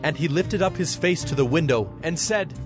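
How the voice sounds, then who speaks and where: Measured male Bible narrator over music. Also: a little high, animated. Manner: measured, formal. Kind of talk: dramatized scripture narration. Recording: background music under the voice.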